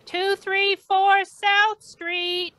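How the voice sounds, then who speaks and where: A woman playing a detached dispatcher in a radio drama, announcing in a flat, repetitive way. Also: extremely high pitch, drawn-out words, slight background noise, exaggerated voice. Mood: detached, monotone. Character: detached female dispatcher calling out an address. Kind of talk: old-time radio drama acting.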